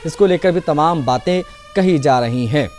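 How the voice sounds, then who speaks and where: A man's voice: on the high side, normal speed, a little mellow, a little hiss or hum. A man presenting scripted news as narration in a formal, emphatic manner.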